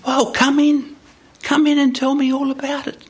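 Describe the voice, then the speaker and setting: A man's voice: very high voice, completely flat delivery, normal speed, pitched above the performer's own voice. A man voicing a kindly, lonely woman, curious and welcoming, in live storytelling.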